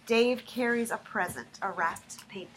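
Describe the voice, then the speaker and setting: A woman's voice: fairly high pitch, moderate pace. A woman reading stage directions as scripted narration for a staged play reading. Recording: faint background noise.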